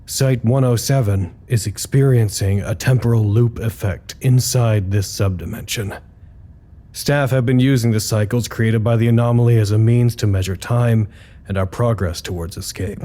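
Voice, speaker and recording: Low, mellow man acting as a formal research doctor. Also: lightly acted. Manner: formal, measured official reporting. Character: weary male doctor, foundation communications head, dutiful and halting. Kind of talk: scripted audio drama report.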